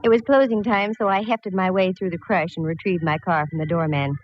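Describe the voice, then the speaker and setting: An actress playing a wry, quick-witted female private eye in a 1940s radio detective drama, breezy and wisecracking. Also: lowish voice, steady tone, faint music behind, natural voice. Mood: wry narration. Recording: noisy, dull vintage radio recording.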